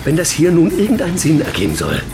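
An actor playing a blunt, teasing best friend in middle age, mocking and incredulous in radio play banter. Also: male voice, a little high, huge pitch swings, fast talker, gravelly, music in the background, full character voice. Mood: exasperated, interrogating. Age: middle-aged. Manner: mocking, interrogating, emphatic.

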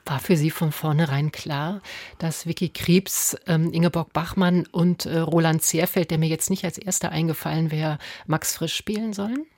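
A woman's voice: low-pitched, steady tone, moderate pace, a little mellow, no echo. A woman hosting an interview, putting questions and introducing items as a radio presenter.

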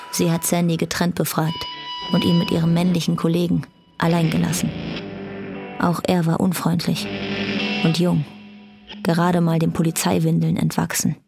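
A woman's voice: lowish voice, gravelly. A woman narrating a domestic radio drama in the third person, reading scripted literary prose.

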